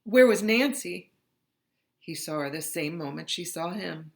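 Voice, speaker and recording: A woman reading a children's picture book aloud in an expressive, dramatic narrating style. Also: lowish voice, measured pace, vocal fry. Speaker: storybook reader.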